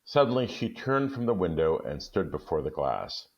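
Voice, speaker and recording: A man with an extremely deep, low voice reads a classic short story aloud at an unhurried, even pace. The recording is muffled and lo-fi. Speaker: guest reader.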